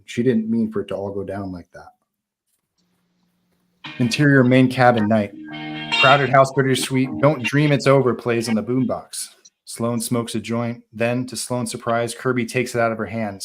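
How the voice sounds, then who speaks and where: A man reading screenplay action aloud as narrator of a live table read, in an informal, animated way. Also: medium pitch, a little hiss or hum.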